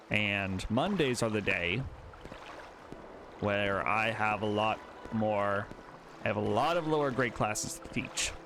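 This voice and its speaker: A man's voice: on the low side, background noise. A man hosting a let's play, chatting casually and self-deprecatingly as he plays. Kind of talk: casual gameplay commentary.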